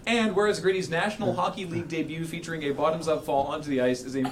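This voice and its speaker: A man's voice: fairly high pitch, steady tone, quick pace. A man reading a formal resolution aloud in a mock-solemn style, amused and prone to cracking up. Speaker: charity livestream host reading aloud. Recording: noisy room with clicking.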